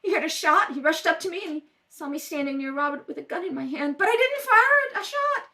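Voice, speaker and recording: A woman's voice: very high voice, expressive, fast, pushed and bright, exaggerated voice. A woman playing a distressed, earnest murder suspect, pleading emphatically in old-time radio melodrama style. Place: home kitchen table. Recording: distant home rehearsal recording.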